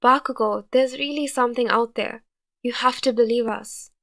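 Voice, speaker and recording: A woman's voice: a little high, fairly even intonation, moderate pace, creaky, fairly bright tone. A woman voicing an anxious young character who speaks urgently and pleads.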